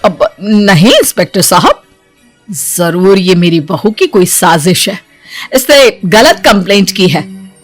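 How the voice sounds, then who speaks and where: A woman's voice: mid-range voice, lively intonation, slow pace, faint music behind. A woman voicing a cruel, domineering stepmother in a moral story, with scolding, threatening delivery. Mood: flustered, defensive. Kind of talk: Hindi moral-story voice acting.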